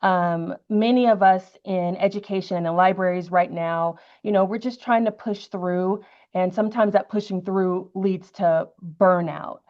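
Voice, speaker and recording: A woman interviewing a guest on stage in a halting, appreciative, conversational way. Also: medium pitch, fairly even intonation, muffled recording. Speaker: interviewer, middle school librarian.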